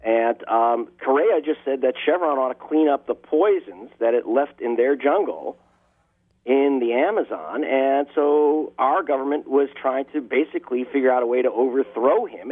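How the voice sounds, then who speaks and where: A man speaks as an investigative journalist in an interview, his delivery impassioned and pressing hard on key words. His voice sits at an ordinary pitch. The sound comes through a thin, phone-like line with the low and high ends cut away. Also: breathy edge. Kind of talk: interview answers. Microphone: telephone line.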